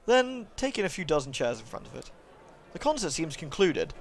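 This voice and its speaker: A man's voice: on the high side, sing-song, normal speed, gravelly. A man livestreaming, switching between polite, thankful chat with viewers and reading narration aloud. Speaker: live streamer reading a visual novel aloud.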